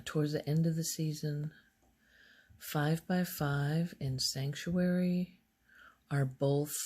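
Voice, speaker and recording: A soft-spoken woman rambling in a halting, unhurried ASMR style, her quiet voice often close to a whisper. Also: breathy edge. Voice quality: soft, low-volume voice, often near whisper. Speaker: ASMR video host.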